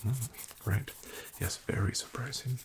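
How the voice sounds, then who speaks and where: A man whispering an ASMR roleplay as a house-call doctor, hushed and wryly deadpan with soft fillers. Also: medium pitch, unhurried, background noise.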